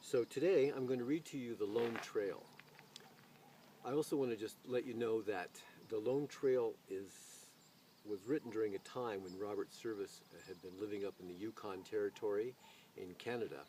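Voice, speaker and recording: A man hosting a poetry reading, speaking with deliberate, expressive phrasing. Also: fairly low pitch. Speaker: video channel host reading poetry aloud. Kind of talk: expressive poetry recitation with casual spoken introduction. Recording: distant mic, noise, birdsong ambience.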